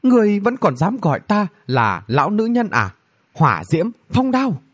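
A man's voice: mid-range voice, very animated, a little fast, husky. A man voicing a haughty, hot-tempered woman mage, scornful and threatening, in a fantasy audiobook. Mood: fierce shouting. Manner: scornful, threatening, spell-shouting.

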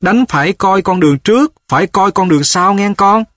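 A man's voice: high-pitched, a little fast, fairly bright tone, dry recording, studio quality, voice pushed up. A man voicing an old village woman, a worried, tearful mother who pleads and calls out in a raised voice. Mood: anxious.

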